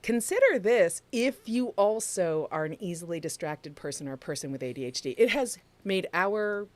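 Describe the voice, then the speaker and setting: A middle-aged woman co-hosting a podcast livestream, chatting warmly and emphatically with the audience. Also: medium pitch, very animated, moderate pace. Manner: chatty, emphatic, talks to the chat room. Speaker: podcast co-host. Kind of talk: casual livestream chat.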